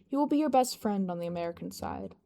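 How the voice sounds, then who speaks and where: Level-headed male aide, read by a woman, mellow, matter-of-fact, gently chiding, for audio fiction. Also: medium pitch, lively intonation, quick pace, close to an everyday voice. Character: patient, practical male aide to a young boss.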